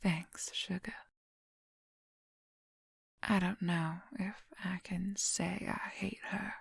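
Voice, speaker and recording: A woman acts out a possessive young werewolf woman in an ASMR role-play. She whispers very slowly and intimately, with a husky, breathy voice that crackles with heavy fry. The sound is close and dry. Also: on the low side, no echo, exaggerated voice. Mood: possessive, jealous.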